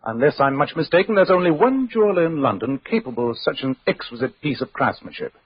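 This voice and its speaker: Incisive gentleman detective, rather high, husky and clipped, in vintage radio drama. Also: animated, fast, no room sound. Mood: decisive. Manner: clipped, authoritative, precise. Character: incisive, self-assured English gentleman detective. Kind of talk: 1940s radio acting. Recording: muffled 1940s radio recording.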